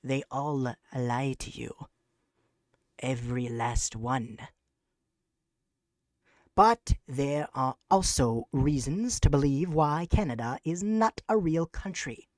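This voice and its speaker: A grandiose, self-important conspiracy lecturer, as a comedian puts on a voice. Every word is drawn out slowly and pompously, and the accent keeps drifting toward British. The recording is dry and close, with no room sound. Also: medium pitch, dry recording, close to an everyday voice. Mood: lecturing. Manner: pompous, mock-serious lecturing. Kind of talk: mock conspiracy presentation over slides.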